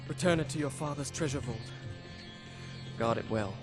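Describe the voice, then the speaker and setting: A man's voice: mid-range voice, moderate pace, music in the background, close to an everyday voice. A man playing an earnest, wistful young adventurer prince in a dramatic video game, speaking with grave, courtly restraint. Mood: solemn.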